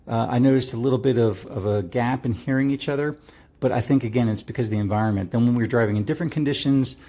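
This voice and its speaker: A man's voice: medium pitch, mellow. A middle-aged man giving a casual product review in an easygoing, rambling way.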